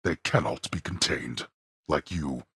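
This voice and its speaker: A man playing a stern, imperious male lord of the underworld in a video game, speaking in a cold, disdainful, formal manner with a very husky, gravelly voice. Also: a bass voice, a little slow, sharp tone, no echo, studio quality, exaggerated voice. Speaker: voice actor.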